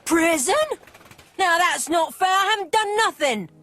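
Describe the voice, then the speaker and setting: A woman's voice: extremely high pitch, lively intonation, a little slow, bright, forceful tone, faint music behind, exaggerated voice. An actress playing a blunt, defensive working-class woman fruit seller in a video game. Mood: anxious, pleading. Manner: blunt, colloquial.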